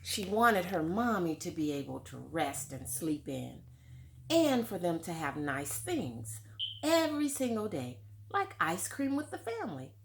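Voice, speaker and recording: A woman's voice: on the low side, big pitch swings, unhurried, vocal fry, slight background noise. A woman reading a picture book aloud to children, then talking it over with them like a teacher and asking them questions. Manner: warm teacherly, asks questions to young listeners. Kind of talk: children's read-aloud with discussion.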